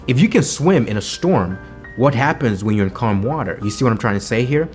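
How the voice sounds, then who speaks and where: A man's voice: medium pitch, lively intonation, a little fast, soft background music. A man with a Kenyan accent, vlogging about business with energy, repeating himself and asking "right?" to his viewers. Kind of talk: motivational business vlog talk. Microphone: camera mic on a home video set.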